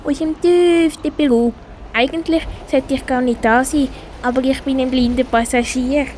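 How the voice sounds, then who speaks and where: A woman's voice: sits high, steady tone, moderate pace, darkish tone, natural voice. A female performer with a Swiss German accent playing a small, polite, earnest bear in an amateur radio play. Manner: polite, hesitant. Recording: faint background noise.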